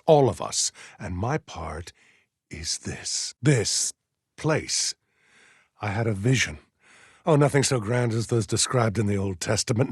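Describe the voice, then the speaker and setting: An actor playing a zealous, commanding middle-aged militia colonel in a video game, speaking in a grandiose, deliberate, preaching way. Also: male voice, lowish voice, husky, dry recording, clean studio sound. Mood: measured, paternal. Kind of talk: video game voice acting, oratorical.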